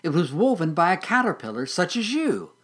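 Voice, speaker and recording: A reader doing a gentle big-sister caterpillar. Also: medium pitch, very animated, brisk, breathy edge, pitched above the performer's own voice. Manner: soft, soothing, sometimes whispered. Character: gentle older sister caterpillar, patient and kind.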